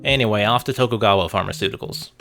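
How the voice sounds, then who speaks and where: A man's voice: fairly low pitch, quick pace, sharp tone. A man narrating a scripted video essay about games in a wry, conversational way, with asides to the viewer. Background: music.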